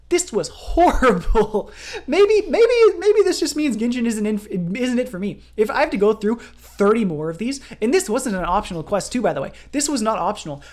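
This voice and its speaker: A woman's voice: medium pitch, a little mellow. A woman game streamer giving a ranting commentary, emphatic and repeating phrases for emphasis.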